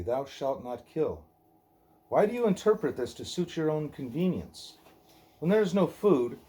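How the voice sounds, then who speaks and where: Man reading slowly in a dark, mellow voice. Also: fairly high pitch. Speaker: reader of a devotional book; parent reading aloud at a child's bedtime. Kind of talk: scripted narration, measured reading of a dialogue.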